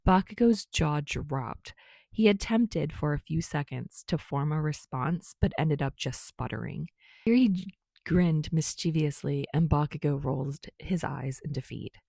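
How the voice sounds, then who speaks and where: A woman's voice: low voice, a little slow, gravelly, warm tone, no echo. A woman reading a story aloud as a scripted narrator. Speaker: fan fiction narrator.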